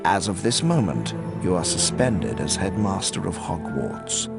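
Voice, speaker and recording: A man's voice: deep voice, sing-song, unhurried, vocal fry, heavily acted. A man playing a cold, smug aristocratic villain in a game, pompous and drawling. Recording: loud music behind the voice.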